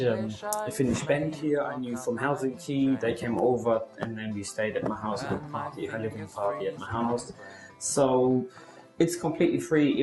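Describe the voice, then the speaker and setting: A man's voice: mid-range voice, roomy sound, poor audio. A man talking enthusiastically about his local group in a casual interview, often restarting phrases. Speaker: local couch surfing group organizer.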